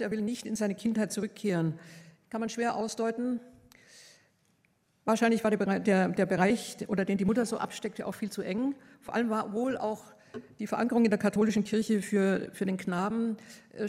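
A woman giving a measured academic lecture, pausing often with hesitations as she speaks. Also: mid-range voice, steady tone, a little mellow, long reverb tail. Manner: measured, thoughtful, with frequent hesitations.

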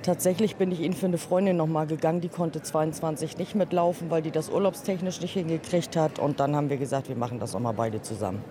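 A woman's voice: low-pitched, steady tone, fast talker, few pauses, a crowd in the background. A woman from northern Germany with a northern German accent, a pilgrim guest giving short, plain answers in a casual outdoor radio interview. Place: outdoors on a city square.

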